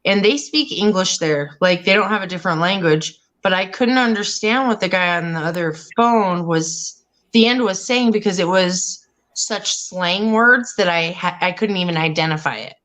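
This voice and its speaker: A woman's voice: fairly low pitch, normal speed, a bit bright. A woman hosting a casual live chat, rambling and trailing off as she talks, from Colorado. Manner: chatty, rambling, trails off mid-sentence. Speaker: live stream host.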